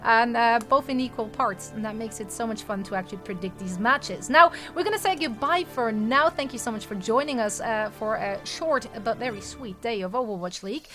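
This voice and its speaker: A woman hosting a live esports desk show, upbeat and brisk, laughing easily with her co-hosts. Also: on the high side. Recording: music bed behind.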